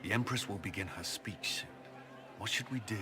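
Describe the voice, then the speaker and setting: A man's voice: lowish voice, moderate pace, a crowd in the background, background noise, lightly acted. An actor playing an anxious, earnest man at court in a fantasy video game. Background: loud music.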